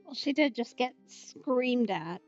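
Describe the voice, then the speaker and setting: A woman streams a video game with casual, wry commentary. Her voice moves around a lot and sits on the high side. The sound is a little dull, with music behind. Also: lively intonation, slow. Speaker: let's play streamer.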